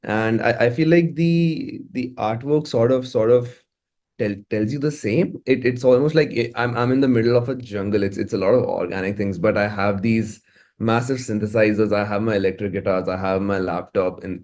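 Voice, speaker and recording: A man talking casually as an interview guest, halting and repeating words as he thinks aloud. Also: lowish voice, steady tone, a little mellow. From India. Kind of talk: casual, reflective interview talk.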